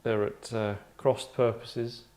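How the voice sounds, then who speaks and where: Man leading an actors' read-through, casual and halting, rambling, deadpan comic asides. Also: mid-range voice. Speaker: table read leader among actors.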